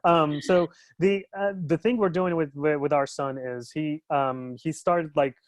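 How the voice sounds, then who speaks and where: A man's voice: a little high, fairly even intonation, unhurried, no room sound. A man from Turkey, an educational consultant in his thirties, talking casually in a webinar discussion, with many fillers and restarts.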